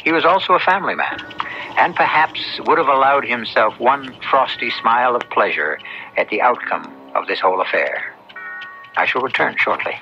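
A man hosting and narrating a radio drama in a measured, literary, solemn scripted style. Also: medium pitch, expressive. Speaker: host and narrator. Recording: dull, band-limited old radio recording. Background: music.

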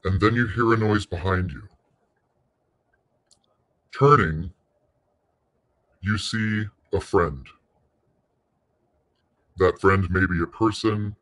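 A man leading a guided meditation in a soothing, unhurried way, pausing between short phrases. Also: low voice, a bit bright.

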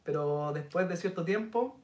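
A man in his thirties with a Chilean accent, telling stories in a relaxed podcast chat with Chilean slang. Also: fairly high pitch, normal speed, a little hiss or hum. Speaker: podcast host. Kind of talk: casual chat. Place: indoor studio set with city backdrop. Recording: roomy sound, mic a bit distant.

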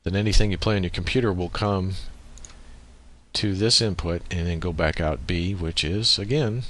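A man with a very low, bright and forceful voice walks through a software setup. He explains things methodically in an informal way, often thinking aloud as he goes. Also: moderate pace. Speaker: tutorial presenter. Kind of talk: informal software screen-recording tutorial. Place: indoors at a computer, voice-over on screen recording.

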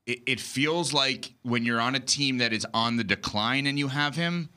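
Bright-toned male sports show host. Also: mid-range voice, normal speed. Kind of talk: studio sports debate. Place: TV studio. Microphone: desk boom mic.

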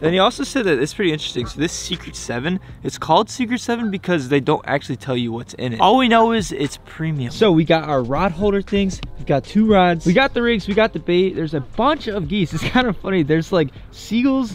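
A man's voice: high-pitched, very animated, fairly quick, few pauses. A young man, chatting casually and rambling as he vlogs to a handheld camera. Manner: chatty, rambling, laughs at himself. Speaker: fishing channel host. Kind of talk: casual vlog talk to camera. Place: store aisle, then outdoor lakeside park. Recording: background music.

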